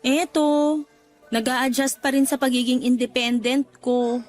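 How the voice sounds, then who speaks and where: Young filipino daughter, really high voice, emotional radio drama acting, faint music under it. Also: female voice, unhurried. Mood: shy, regretful. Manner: emotional, expressive. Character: emotional, guilt-ridden young working daughter, newly independent.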